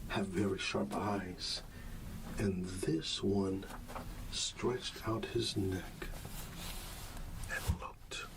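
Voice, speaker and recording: A man softly reading a bedtime story in an ASMR style, soothing and often dropping into a whisper. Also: sits low, fairly even intonation, drawn-out words, vocal fry, pauses often, distant mic, noisy background. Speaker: ASMR storyteller playing a dad.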